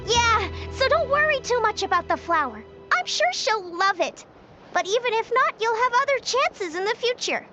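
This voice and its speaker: A woman's voice: very high-pitched, sing-song, moderate pace. A woman voicing a small, chirpy, encouraging companion sprite in a video game. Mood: reassuring. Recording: game dialogue with music behind, dulled. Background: game music.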